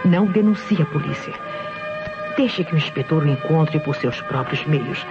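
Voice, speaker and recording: A Brazilian woman acting a frightened, protective mother in an old radio drama, pleading and hushing. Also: sits low, lively intonation, moderate pace, over music. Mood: anxious, pleading. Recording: dull old radio recording.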